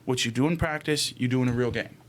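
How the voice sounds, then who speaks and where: A man's voice: medium pitch, fast talker, a little hiss or hum. A young man debating casually as a podcast co-host, with slangy, profane, argumentative talk. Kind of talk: casual group chat, sports debate. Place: small room, indoor podcast setup. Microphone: close podcast microphone with headphones.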